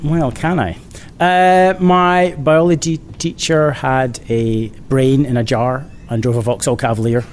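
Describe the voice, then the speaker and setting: Man, medium pitch, casual interviewee, dry playful humour, background noise with low rumble. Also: fairly even intonation, a little slow. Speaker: children's author being interviewed.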